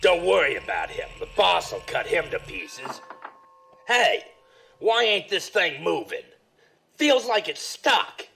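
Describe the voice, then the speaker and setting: A man playing a gruff, thuggish henchman with rough, slangy speech in an audio drama. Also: high voice, big pitch swings, slow, bright, forceful tone, heavily acted. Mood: gruff, threatening.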